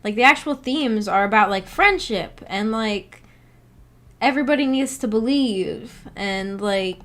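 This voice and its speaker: A woman's voice: mid-range voice, a little slow, a bit bright. A woman livestreamer chatting casually with her viewers, in a rambling, hesitant, giggly manner. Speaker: livestreamer, book club host. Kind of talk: casual livestream chat, reading and answering viewer comments.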